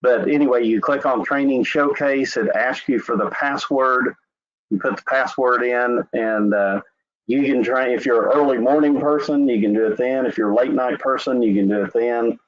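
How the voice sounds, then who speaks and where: A man's voice: medium pitch, moderate pace. A man from Oklahoma with an Oklahoma accent, walking viewers through a website during a webinar in a loose, conversational way with frequent "uh" and "anyway.". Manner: relaxed, conversational, with filler "uh" and "anyway". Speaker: insurance company representative. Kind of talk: screen-share webinar walkthrough. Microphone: video call. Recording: dull lo-fi web call audio.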